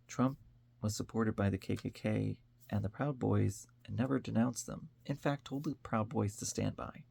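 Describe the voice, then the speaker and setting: American man, low voice, husky and rough, earnest, conversational, informal opinion monologue. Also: moderate pace, darkish tone. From upstate New York, United States. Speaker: online commentator.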